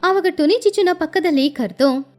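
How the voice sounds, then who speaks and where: A woman narrating a children's story in an animated storytelling style. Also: extremely high pitch, normal speed, faint music behind.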